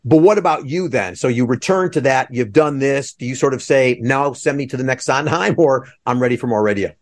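A man's voice: mid-range voice, a little fast. A man hosting an interview podcast over a video call, talking in an effusive, rambling, digressive way. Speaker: podcast host.

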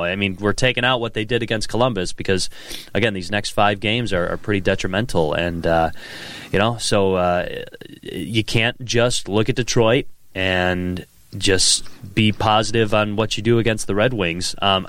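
A man's voice: on the low side, moderate pace, a bit bright, no room sound. A man co-hosting a podcast, talking sports in a loose, rambling way and repeating words as he thinks. He sounds stuffed up from a cold. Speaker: podcast co-host. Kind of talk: casual sports chat.

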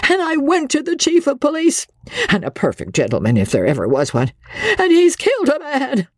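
A woman voicing a frantic middle-aged mother with a high, quavering voice. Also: huge pitch swings, normal speed, no echo, studio quality.

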